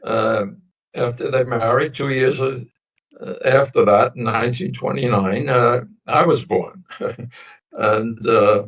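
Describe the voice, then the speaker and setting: A man in his nineties whose first language was a Sicilian dialect, slowly recounting family history as an interview guest in a meandering, reflective way. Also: medium pitch, fairly even intonation, fairly bright tone. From United States. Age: 90s. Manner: meandering, reflective storytelling with many pauses. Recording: voice distant from the mic.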